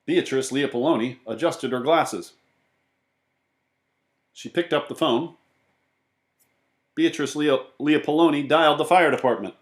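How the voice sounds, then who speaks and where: A man narrating a children's book as an animated storybook reader. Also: a little high, a little slow, pauses often. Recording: roomy, echoey sound.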